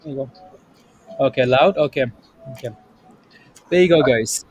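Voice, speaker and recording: A man's voice: mid-range voice, moderate pace, a little mellow, slight background noise. A man hosting a casual trading livestream, upbeat and chatty, often saying yep and there you go. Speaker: livestream host.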